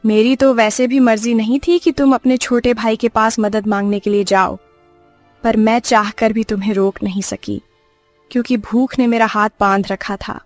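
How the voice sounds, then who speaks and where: A woman's voice: on the high side, steady tone, normal speed, no echo, lightly acted. A woman playing a poor, sorrowful village wife in a cartoon story, speaking plaintively. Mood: sorrowful, reproachful. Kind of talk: Hindi cartoon story acting. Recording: music behind the voice. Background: music.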